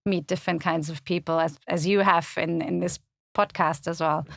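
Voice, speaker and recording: German-accented woman, low gravelly voice, relaxed interview guest, dry studio mic. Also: normal speed, dry recording. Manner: thoughtful, many fillers and restarts. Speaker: podcast guest, community website founder. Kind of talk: casual interview chat. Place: podcast studio.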